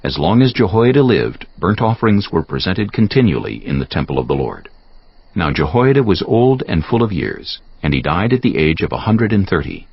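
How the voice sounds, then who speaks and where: A man with a gravelly voice giving measured, solemn narration for a dramatized audio Bible. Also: low voice, sing-song, dry recording. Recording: dull audio with soft music behind. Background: soft background music.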